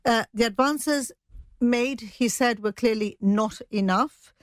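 A woman giving an unscripted news report as an editor, pausing to think as she goes. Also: fairly high pitch, no room sound, studio quality.

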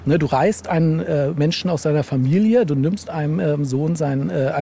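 A man's voice: a little high, normal speed, gravelly. A man talking casually as an interviewed author, searching for words and often adding tag questions. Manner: hesitant, searching delivery with frequent tag questions.

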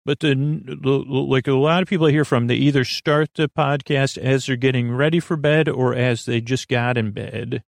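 A man hosting a sleep podcast in an unscripted, meandering monologue, halting, repeating himself and trailing off mid-thought. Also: mid-range voice, steady tone, vocal fry, dry recording, clean studio sound. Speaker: podcast host.

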